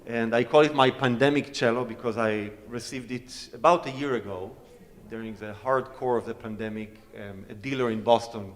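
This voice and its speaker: A man's voice: mid-range voice, steady tone, normal speed. A man whose first language is Hebrew, a guest cellist chatting and joking with a concert audience on stage. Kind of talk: informal talk and audience Q&A on stage. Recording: long concert hall reverb.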